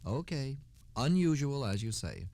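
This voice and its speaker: A man's voice: mid-range voice, big pitch swings, unhurried, dark tone, no room sound. A man hosting a scripted 1960s radio program. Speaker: radio show host.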